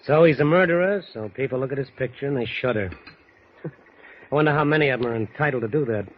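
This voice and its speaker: A man's voice: medium pitch, a little fast, lightly acted. An actor playing a wry, cynical young newspaperman in a 1940s radio drama. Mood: cynical, probing. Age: young adult. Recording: dull, noisy 1940s radio recording.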